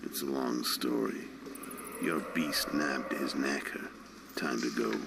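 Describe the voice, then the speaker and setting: An actor playing a huge, calm, menacing assassin in a video game, gravelly and ominously measured. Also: male voice, rumbling low, very noisy, exaggerated voice. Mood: cold, ominous.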